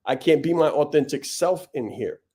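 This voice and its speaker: A man's voice: fairly high pitch, normal speed, dark tone, husky. A man hosting a business podcast, giving a blunt, preachy motivational monologue full of punchy repetition. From Vietnam. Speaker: podcast host and business coach.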